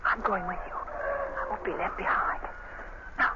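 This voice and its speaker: An actress playing an anxious, loyal young woman in a 1930s radio drama, speaking in fearful, hushed tones. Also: mid-range voice, wildly swooping pitch, a little slow. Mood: frightened. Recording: loud hiss, dull old radio.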